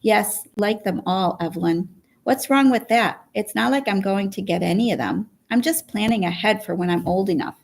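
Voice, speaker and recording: A woman's voice: mid-range voice, moderate pace, gravelly. A woman voicing a playful, boy-crazy teenage girl in an audiobook story. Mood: playful, dreamy.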